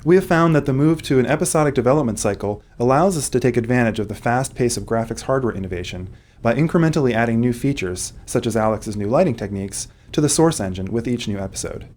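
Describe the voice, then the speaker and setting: A man delivers scripted commentary as a game developer. His voice sits at an ordinary male pitch, and he explains technical work in prepared, complete sentences. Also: expressive, quick pace, hardly any pauses, a little mellow.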